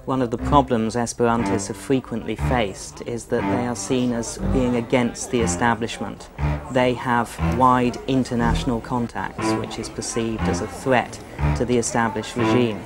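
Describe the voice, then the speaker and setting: A speaker giving a calm, analytical explanation in an interview. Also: medium pitch, moderate pace, loud music behind the voice.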